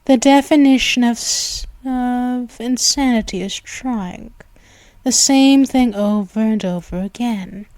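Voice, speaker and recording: A woman voicing a seductive, menacing female vampire, speaking slowly with a purring, teasing delivery and soft whispers, recorded on a close mic. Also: a little high, dry recording. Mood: mocking. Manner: slow, teasing, threatening. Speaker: ASMR roleplay voice actress. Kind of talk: ASMR roleplay.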